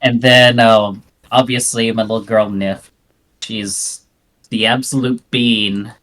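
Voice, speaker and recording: A man's voice: lowish voice, steady tone, takes it slowly, a bit bright. A man in a casual discussion, rambling and hedging with "like" and "you know".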